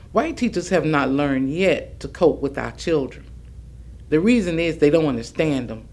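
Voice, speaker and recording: An actress playing a steadfast older civil rights veteran, plainspoken and deliberate, in a staged reading with a creaky voice. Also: female voice, low voice, a little mellow, heavily acted. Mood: grave, firm. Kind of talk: staged reading of oral history testimony.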